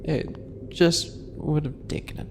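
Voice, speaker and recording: A man playing a small, smug, obsessive yandere in an ASMR roleplay, slow and mock-sweet, with a breathy, gravelly voice that drops into whispers. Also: noisy background, close to an everyday voice. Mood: smug teasing.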